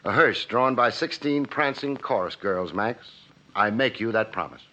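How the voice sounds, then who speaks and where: An American actor playing a cool-headed, wisecracking private investigator in a 1950s radio drama, with a wry, clipped, hard-boiled delivery. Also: male voice, fairly low pitch, moderate pace, natural voice. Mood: dryly joking. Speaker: lead actor and narrator. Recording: muffled old radio broadcast, faint music.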